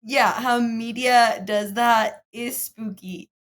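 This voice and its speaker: A young woman co-hosting a podcast in casual chat, laid-back and quick to laugh. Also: a little high, fairly even intonation. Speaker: podcast co-host.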